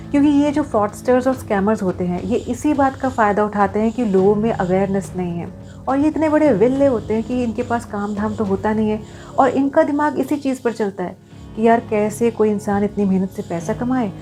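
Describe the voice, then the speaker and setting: A woman's voice: medium pitch, warm tone. A woman talking to viewers in an earnest, explanatory vlog style, mixing in English phrases. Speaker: vlogger.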